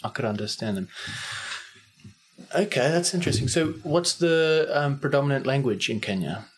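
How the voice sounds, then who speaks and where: A man's voice: mid-range voice, expressive, moderate pace. A man hosting a casual interview, curious, asking many questions and laughing easily. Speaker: host.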